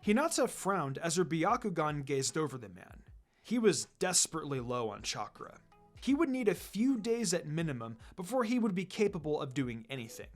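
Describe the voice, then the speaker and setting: A man's voice: on the high side, sing-song, moderate pace. A man reading fan fiction aloud as a dramatic scripted narration. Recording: music under the voice. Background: background music.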